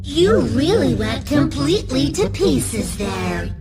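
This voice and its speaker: Busted robot fox lady in a horror game, super slow n dramatic, sweet but unhinged, music blaring. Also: sits high, big pitch swings, heavily acted. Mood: mock-sweet menace. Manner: theatrical, drawn-out, menacing. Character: broken female fox animatronic, theatrical, sweetly deranged. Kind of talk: video game character voice lines.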